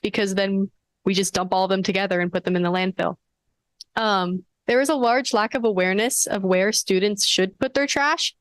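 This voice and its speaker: A woman's voice: medium pitch, clear, resonant tone, dry recording. Earnest teen girl presenting. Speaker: student presenter. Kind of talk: prepared presentation. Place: board meeting.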